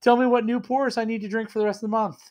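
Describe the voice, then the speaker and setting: A hoarse man with a head cold talks into his webcam from a small room at home. He rambles cheerfully and pokes fun at himself while he reviews a whiskey. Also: extremely high pitch, level tone, quick pace, a little mellow. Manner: rambling, self-deprecating, enthusiastic. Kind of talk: casual tasting vlog.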